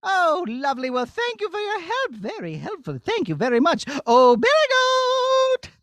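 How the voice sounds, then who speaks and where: High-pitched, fussy young princess. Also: male voice, huge pitch swings, moderate pace, fairly bright tone, no echo, studio quality, full character voice. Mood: chatty, helpful. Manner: exclaiming, sing-song calling, prim. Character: chatty, fussy, easily flustered young princess. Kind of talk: children's puppet show acting.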